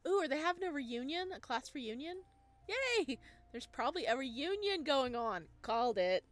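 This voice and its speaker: Playful, excitable woman playing a game. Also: high-pitched, normal speed. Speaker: gaming channel host. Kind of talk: let's play, reading aloud with casual reactions.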